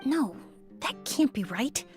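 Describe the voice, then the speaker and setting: A young, analytical heroine from a video game. Her voice is high and her manner composed, formal and polite, with faint music underneath. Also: big pitch swings, slow, exaggerated voice. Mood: pensive.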